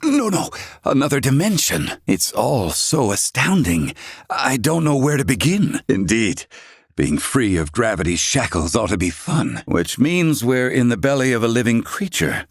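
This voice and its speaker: A man's voice: medium pitch, very animated, measured pace, husky, no room sound, clean studio sound, heavily acted. A man playing an erudite, haughty yet curious scholar in a video game, speaking in a formal, eloquent way with archaic wording. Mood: intrigued.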